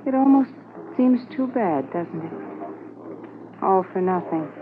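An actress playing a stunned, quietly grieving policeman's wife, speaking plainly in a 1950s radio drama. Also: medium pitch, animated, slow. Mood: dazed grief. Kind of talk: 1950s radio drama acting, first-person narration. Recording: muffled, slightly noisy 1950s radio transcription.

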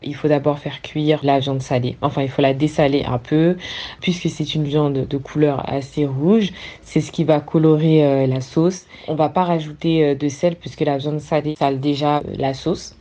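Flat, mellow woman telling a hesitant story. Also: low voice. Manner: hesitant, many filler pauses. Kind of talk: personal recipe story.

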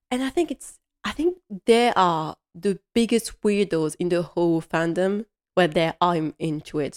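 Young woman, english not her first language, rambly solo podcast chat, lowish voice, lots of ums and restarts. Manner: chatty and self-deprecating, with frequent fillers and restarts. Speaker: podcast host. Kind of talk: casual solo podcast monologue.

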